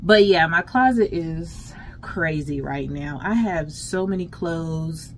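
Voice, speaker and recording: A middle-aged American woman chatting casually and emphatically to her viewers as a lifestyle vlogger, in an unhurried way. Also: sits low. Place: closet at home. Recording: clear background noise, home vlog.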